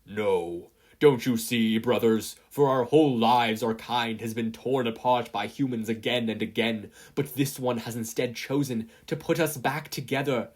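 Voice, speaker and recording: A performer voicing a booming, solemn giant robot. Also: measured pace, hardly any pauses, a little mellow, lightly acted. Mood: solemn, proclaiming.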